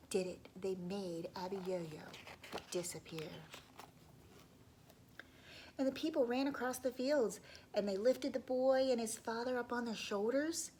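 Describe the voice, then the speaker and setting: A woman telling a story to young children in an expressive read-aloud style, often dropping into hushed asides to her listeners. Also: on the high side, a little slow, pauses often, a little hiss or hum, low-quality recording.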